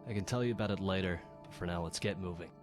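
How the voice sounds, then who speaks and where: A man voicing a wounded rebel fighter in a video game, weary, strained and halting, with a wry, loyal temper. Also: sits low, music in the background, lightly acted. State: injured, in pain. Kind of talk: video game character dialogue.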